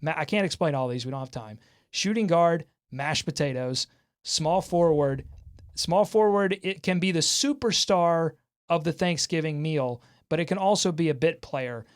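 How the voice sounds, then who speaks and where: Man, slightly high voice, sports show host, excitable, jokey, lively banter. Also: normal speed, clean tone. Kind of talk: lively sports talk with co-hosts.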